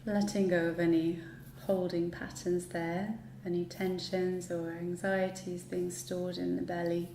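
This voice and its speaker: Mellow-voiced woman guiding deep relaxation. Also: flat delivery. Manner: soothing, unhurried instruction with long pauses. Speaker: yoga nidra guide. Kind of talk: guided relaxation meditation. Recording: roomy echo, light background noise.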